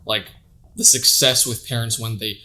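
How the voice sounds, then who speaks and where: A man's voice: medium pitch, fairly even intonation, normal speed, pushed and bright. A man hosting a casual podcast interview, talking in a laid-back, rambling way with many fillers. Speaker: podcast host.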